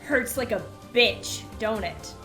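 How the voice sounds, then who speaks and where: Woman reader doing old grandma, gruff, blunt, vulgar, fierce. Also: medium pitch, lively intonation, moderate pace, over music, lightly acted. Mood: threatening.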